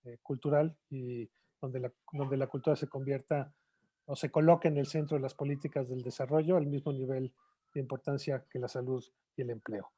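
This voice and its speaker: A man's voice: mid-range voice, fairly even intonation, normal speed, mellow. A man giving a closing speech at a webinar, searching for words with frequent fillers and repeated phrases.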